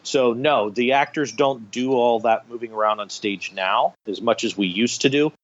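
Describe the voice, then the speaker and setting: A man's voice: mid-range voice, normal speed, lo-fi sound. A middle-aged man telling anecdotes enthusiastically as an interview guest, in a conversational storytelling style. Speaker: guest, radio theater director.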